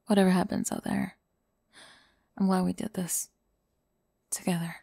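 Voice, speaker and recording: A woman playing a candid, excitable young stage performer in an audio roleplay drama. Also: lowish voice, a little slow, creaky, breathy edge, dry recording, clean studio sound, heavily acted. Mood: nervous.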